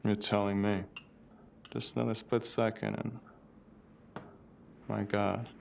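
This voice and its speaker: A man playing a shaken forensic expert who speaks haltingly in careful, formal words in a dramatic video game. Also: lowish voice, flat delivery, gravelly, a little hiss or hum, heavily acted. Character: shaken, precise male forensic expert, quietly awed and morbid.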